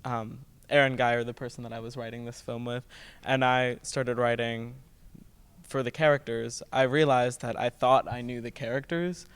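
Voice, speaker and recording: A man's voice: mid-range voice, fairly even intonation, moderate pace, clear, resonant tone, no room sound. A young man, a student actor, giving an earnest, reflective interview answer. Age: young adult.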